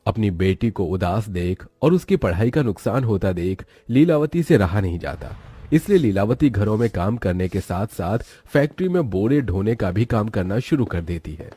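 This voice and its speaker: A man narrates a moral tale from a script. His voice is on the low side, mellow and husky, in a very dry recording. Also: lively intonation, moderate pace, dry recording.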